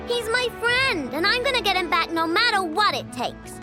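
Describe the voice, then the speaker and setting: A woman's voice: very high voice, big pitch swings, moderate pace. A woman voicing a feisty, stubborn little inventor girl in a video game, speaking emphatically over music. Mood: defiant, indignant. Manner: emphatic, defiant. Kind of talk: animated video game voice acting.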